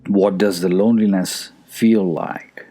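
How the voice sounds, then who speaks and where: A man guides a meditation in a fairly low voice. He speaks very slowly and soothingly, at times hushed, leaving plenty of room between phrases. Faint music plays behind him. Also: fairly even intonation. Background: soft music.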